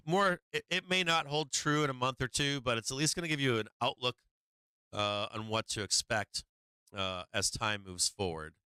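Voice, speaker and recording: A man's voice: mid-range voice, sharp tone, clear, resonant tone, no echo. A man hosting a stock market livestream, talking casually and profanely through charts with many fillers while he is losing his voice.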